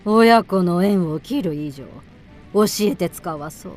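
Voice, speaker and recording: A woman's voice: lowish voice, takes it slowly, a little mellow, soft background music. A woman playing an ancient, imperious demon mother who speaks like an old crone in archaic, commanding phrases in a radio drama. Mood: bitter, cold.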